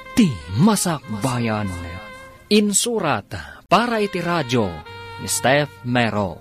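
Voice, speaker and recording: A man with an Ilocano accent giving dramatic scripted announcements and recaps for a radio drama. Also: medium pitch. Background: background music.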